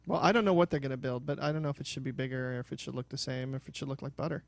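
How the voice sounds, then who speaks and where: An American man with a high-pitched voice co-hosting a talk show, chatting casually in a jokey, rambling way. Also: fairly even intonation, rapid-fire, gravelly, no room sound. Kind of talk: joking casual chat. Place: studio of a local TV station, small audience.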